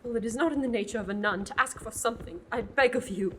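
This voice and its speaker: A teenage actor playing an exasperated, theatrical abbess eager to be rid of a pesky oblate. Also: female voice, a little high, fast, slight background noise, close to an everyday voice. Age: teens. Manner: sighing and theatrical. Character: exasperated, fussy middle-aged abbess nun. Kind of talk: student radio play.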